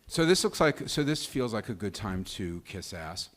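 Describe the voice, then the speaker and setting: A man co-hosting a live table read, talking off the cuff in a wry, playful and deliberate way. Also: on the low side, very animated, fairly bright tone. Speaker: co-host and performer at a table read. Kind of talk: casual off-the-cuff stage talk. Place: live event venue before a small audience.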